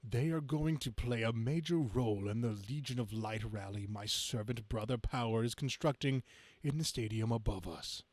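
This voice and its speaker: A man's voice: medium pitch, moderate pace, darkish tone, no room sound, lightly acted. A megalomaniac monster villain from a comic book, acted out by a man, proclaiming his menace with theatrical pomp. The delivery is grand and boastful, in a dry, close recording. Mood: gloating. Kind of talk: amateur dramatic comic-book reading.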